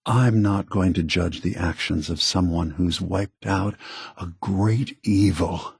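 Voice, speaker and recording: An ancient synthetic being who leads with gentle, weary idealism in a video game. The voice is husky and takes its time, halting and choosing words with earnest care. It is recorded very dry and close. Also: male voice, deep voice, no room sound, full character voice. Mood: apologetic, measured. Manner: measured, halting, earnest, diplomatic. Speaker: leader of a synth refuge. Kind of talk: video game character dialogue.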